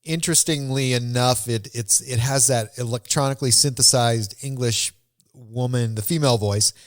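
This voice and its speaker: A man hosting a podcast in a casual, conversational way, with a halting, rambling delivery. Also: mid-range voice, fairly even intonation, no echo, clean studio sound. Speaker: podcast host.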